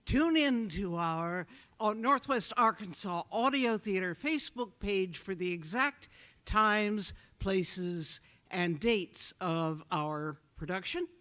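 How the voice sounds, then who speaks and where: An announcer reading a scripted theater promotion in a measured delivery. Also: medium pitch, expressive, fairly bright tone.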